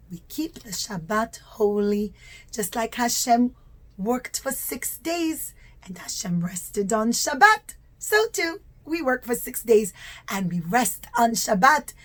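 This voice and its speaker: Slow, high-voiced woman telling children a story. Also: slight background noise. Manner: simple, emphatic, repetitive phrasing for small children. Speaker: religious teacher telling a story to young children. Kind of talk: animated children's puppet-show storytelling.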